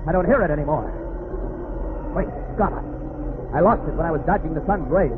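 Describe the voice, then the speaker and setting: An actor playing an urgent, earnest flying superhero in dramatic 1940s radio drama style. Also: male voice, a little high, lively intonation, normal speed, lightly acted. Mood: desperate, searching. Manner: dramatic, urgent self-talk with bold exclamations. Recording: noisy, narrow-band vintage radio recording.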